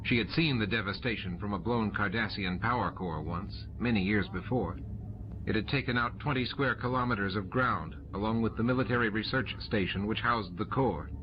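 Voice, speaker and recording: A man's voice: lowish voice, moderate pace, a little hiss or hum. A man reading scripted audiobook narration of a story. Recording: muffled audio under loud music.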